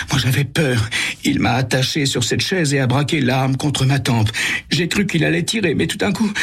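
A man voicing a frightened man who speaks haltingly and trembles. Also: mid-range voice, gravelly, breathy edge, pitched above the performer's own voice. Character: frightened middle-aged man, shaken and trembling.

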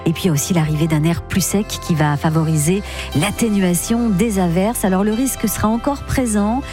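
A woman presenting the weather forecast on the radio, in a brisk, informative way, running through places and temperatures. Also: medium pitch, music in the background. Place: radio studio.

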